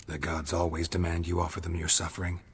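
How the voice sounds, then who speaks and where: An actor playing a stoic, weathered monster hunter in a video game, terse and deadpan. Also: male voice, deep voice, quick pace, breathy edge, exaggerated voice. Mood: probing. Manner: terse, deadpan, matter-of-fact. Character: stoic, weathered male monster hunter, methodical and world-weary. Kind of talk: video game cutscene acting. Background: loud music.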